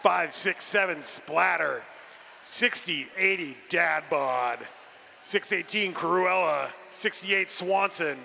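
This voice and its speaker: A man announcing a team roster over a PA in measured, drawn-out phrases. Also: high-pitched, creaky, pushed and bright. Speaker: arena announcer. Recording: reverberant hall PA with some noise.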